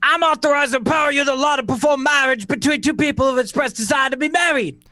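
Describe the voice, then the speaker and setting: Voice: high-pitched, fast talker, sharp tone, no echo, studio quality. A performer playing a folksy, officious, slightly deaf frontier justice of the peace in a comic radio play.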